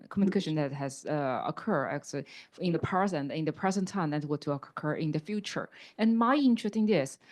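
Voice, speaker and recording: A woman's voice: on the low side, darkish tone. A woman judge asking an unscripted question, hesitant and full of restarts. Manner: hesitant, many fillers and restarts. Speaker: competition judge.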